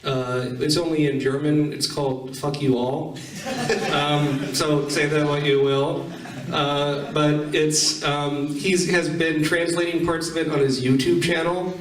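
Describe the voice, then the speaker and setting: A man with a slightly high voice hosts a casual panel, heard at some distance in an echoey hall. He is informal and jokey, fielding questions and restating them for the room. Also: little pitch movement, unhurried, a crowd in the background. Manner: informal, joking, repeats questions back to the audience. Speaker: panel presenter taking audience questions. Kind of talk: casual convention panel talk.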